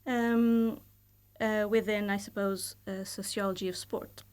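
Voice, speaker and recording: A woman in her thirties speaks with a Portuguese accent, a mid-pitched voice as a guest on a studio podcast. She talks about her work in a thoughtful, measured way. She often pauses and hedges with fillers as she gathers her thoughts. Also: steady tone, darkish tone, clean tone. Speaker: guest, university lecturer. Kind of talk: casual podcast interview.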